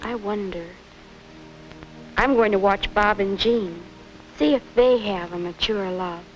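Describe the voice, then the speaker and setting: A woman's voice: medium pitch, measured pace, close to an everyday voice. An actress playing an earnest, wondering young American woman in a 1950 educational film, with a self-questioning way of talking. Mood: pensive. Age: young adult. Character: earnest young woman unsure about her romance. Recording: dull vintage 1950s film soundtrack with music.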